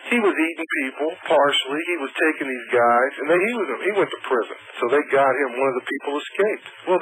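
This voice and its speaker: A man's voice: a little high, fairly bright tone. A man calling a radio show by telephone, arguing in a rambling conversational way. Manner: rambling, argumentative. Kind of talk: argumentative phone-in conversation. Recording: radio phone call, faint noise.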